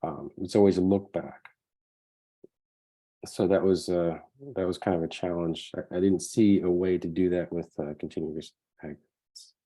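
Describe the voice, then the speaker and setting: A hoarse man asking technical questions in a casual call, relaxed and thinking aloud. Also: sits low, moderate pace.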